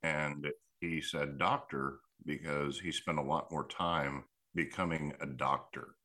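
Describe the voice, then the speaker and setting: A man hosting a casual discussion, talking in a measured, thoughtful way with many pauses. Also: extremely low pitch, steady tone, sharp tone.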